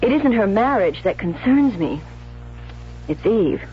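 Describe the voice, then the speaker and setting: Casting: young American bride-to-be, jealous younger sister, intense and emphatic, old radio drama with music behind. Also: female voice, medium pitch, big pitch swings, unhurried. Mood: bitter, resentful. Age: young adult. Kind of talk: 1970s radio drama acting, dialogue and confessional narration. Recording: muffled old radio broadcast, faint music.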